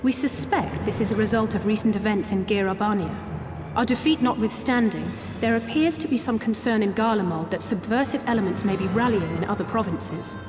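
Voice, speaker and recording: An actress playing an earnest, cautious young woman strategist in a video game, speaking formally and with measured care. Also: medium pitch, lightly acted. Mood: grave, cautioning. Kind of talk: dramatic video game voice acting. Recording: echoey hall-like reverb under music.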